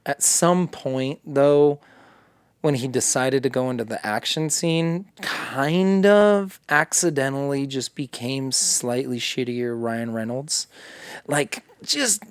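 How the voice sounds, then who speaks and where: Smooth-voiced man joking around. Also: medium pitch, slow, no room sound. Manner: joking, profane banter. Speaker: podcast host. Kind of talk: casual chat. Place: podcast studio.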